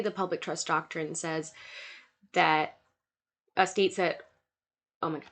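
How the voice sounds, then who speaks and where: Slightly low nasal woman giving a lecture. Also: bright, forceful tone. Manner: reads lists of points with fillers. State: sick, nasal congestion. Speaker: student lecturer. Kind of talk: recorded slide lecture.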